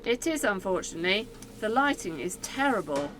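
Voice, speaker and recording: A woman's voice: mid-range voice, big pitch swings, brisk, fairly bright tone. A woman playing a cheery, matter-of-fact canteen cook in an amateur radio play. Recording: faintly noisy amateur recording.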